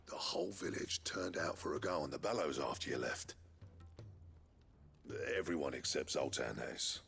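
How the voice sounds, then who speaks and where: An actor playing a proud, stubborn older master blacksmith in a video game, gruff and raspy. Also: male voice, rumbling low, lively intonation, normal speed, a bit bright, soft background music, full character voice. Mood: guarded. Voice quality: raspy, gravelly. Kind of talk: dramatic video game dialogue.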